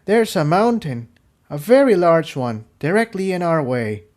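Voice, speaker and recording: A man's voice: a little high, very animated, measured pace, a little mellow, pitched above the performer's own voice. A man voicing a subdued, practical female winged pony with a plain-spoken manner in a story reading. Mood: flat, concerned.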